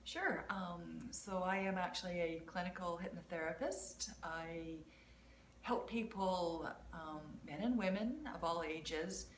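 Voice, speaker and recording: A woman in her fifties speaks as a guest, relaxed and friendly in casual talk. Her voice is fairly low for a woman. The room is roomy, with a little background hiss. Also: slow. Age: 50s. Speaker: guest, hypnotherapist. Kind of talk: casual conversation. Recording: roomy, slight background noise.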